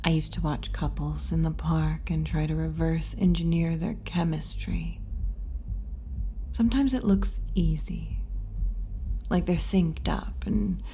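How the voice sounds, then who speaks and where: Woman playing a low, mellow tomboy wolf girl. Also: slight background noise. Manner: unhurried, low-key, often dropping to breathy whispers. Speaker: bartender character in audio roleplay. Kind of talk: intimate ASMR roleplay, addressing the listener.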